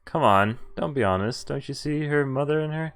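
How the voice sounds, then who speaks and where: A man's voice: mid-range voice, animated, fairly quick, fairly bright tone. A man streaming a visual novel, reading dialogue and narration aloud and casually acting out the lines. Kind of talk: live read-aloud of game dialogue and narration.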